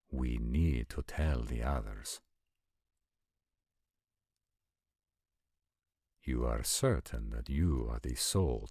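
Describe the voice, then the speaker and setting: A man playing an ancient supernatural warrior in a roleplay audio drama, speaking formally and deliberately with concerned, protective gravity. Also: very deep voice, dark tone, breathy edge, no room sound, studio quality, exaggerated voice. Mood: concerned, probing. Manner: formal, measured, stilted diction with deliberate pauses. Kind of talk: scripted roleplay audio acting.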